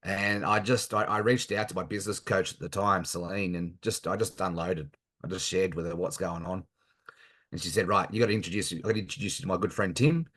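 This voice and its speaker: An Australian man in his thirties with a very deep, low voice. He talks earnestly and haltingly, pausing often on fillers as he answers as a guest. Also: fairly even intonation, fairly bright tone, husky. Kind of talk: casual interview chat.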